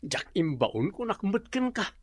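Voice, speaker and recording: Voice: mid-range voice, sing-song, a little slow, no echo, clean studio sound. A performer playing a lazy, defensive unemployed man in a radio drama, with a sulky way of talking. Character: lazy unemployed man who watches TV all day.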